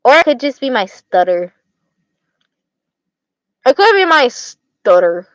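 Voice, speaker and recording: A woman's voice: on the high side, very animated, takes it slowly, no room sound. Stuttering young woman with an Arizona accent. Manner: stutters, slurs words, repeats herself. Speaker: livestreamer chatting with viewers. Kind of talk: casual chat.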